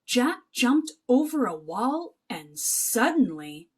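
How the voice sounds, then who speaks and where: Animated, high-voiced woman reading a storybook. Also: slow, husky. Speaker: children's book read-aloud narrator. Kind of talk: expressive children's storybook narration.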